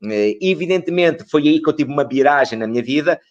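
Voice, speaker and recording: A man's voice: fairly high pitch, expressive, a little fast, muffled recording. A middle-aged man, explaining as an expert guest in an interview, in a didactic way with rhetorical questions and repeated phrases. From northern Portugal.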